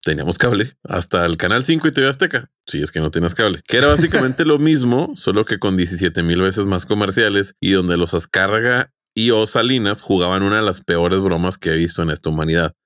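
A man's voice: deep voice, animated, brisk, hardly any pauses, fairly bright tone, dry recording, studio quality. A man co-hosting a podcast, presenting a prepared topic in an informal, conversational way. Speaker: podcast co-host.

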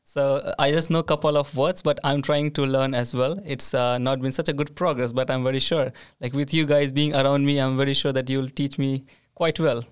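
A man's voice: mid-range voice, level tone, a little fast, hardly any pauses, smooth. A man hosting a casual group chat about living abroad, talking with many fillers and restarts. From not from Sweden. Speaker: host.

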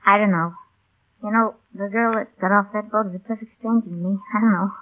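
A woman's voice: medium pitch, steady tone, brisk, lightly acted. An actress playing a plucky, wry young woman with a hesitant manner in 1930s radio drama. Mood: sheepish, wry. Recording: tinny, band-limited old radio recording.

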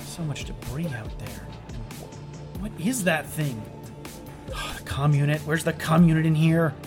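A man playing a rattled, sarcastic starship chief engineer who mutters haltingly to himself in an audio drama. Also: fairly high pitch, loud music behind the voice, natural voice. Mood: uneasy, searching. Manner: halting, self-talking, wry.

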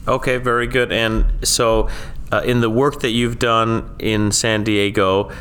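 A man hosting a podcast, introducing a guest and asking questions in a measured, halting way with many fillers. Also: lowish voice, level tone, a bit bright, a little hiss or hum. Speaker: podcast host and law professor.

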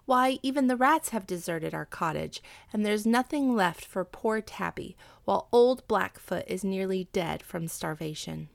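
A woman's voice: medium pitch, animated, a little slow, creaky, few pauses, no room sound. A woman voicing a poor, fretful old widow in a storybook reading. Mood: plaintive. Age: older. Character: poor old Chinese widow, plaintive, fretful, devoted mother.

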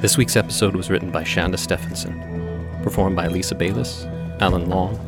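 A man's voice: low-pitched, fairly even intonation, brisk. A man reading scripted programme credits as an announcer, in a narrating style. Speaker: announcer, sound designer. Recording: loud music behind the voice. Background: theme music.

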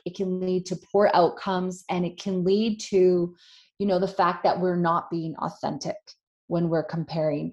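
A woman's voice: fairly low pitch, darkish tone. A woman co-hosting a podcast, speaking earnestly and emphatically, with frequent fillers as she makes her point. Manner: earnest, emphatic, many "you know" fillers. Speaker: podcast co-host. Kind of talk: casual conversational chat.

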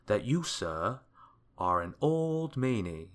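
A man's voice: on the low side, sing-song, takes it slowly. A man voicing a wordy, dithering but kindly old wizard from a storybook, rambling politely and hesitantly. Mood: astonished, reproving.

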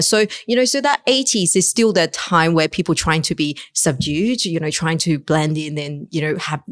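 A woman's voice: low-pitched, no echo, clean studio sound. A woman in her late thirties from China, speaking with a Chinese accent, answering interview questions as a fund manager in a chatty way, often saying "you know" and restarting her sentences.

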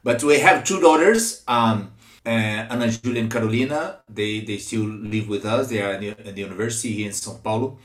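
Guest: Brazilian-accented man, 50s, halting with fillers, echoey room. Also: on the low side. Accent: Brazilian Portuguese. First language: Portuguese. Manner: hesitant, many fillers and restarts, chuckles. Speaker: guest, ministry secretary. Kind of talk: interview, personal story.

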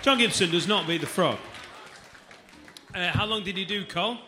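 A man's voice: high-pitched, normal speed, sharp tone, soft background music, some chatter behind. A man hosting a live comedy night, projecting to the room as he introduces acts. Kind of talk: live stage compering. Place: live comedy club stage.

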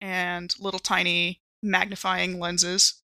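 A woman playing a tabletop role-playing game, casually improvising descriptions and haltingly thinking aloud. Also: fairly low pitch, monotone, pushed and bright, no room sound.

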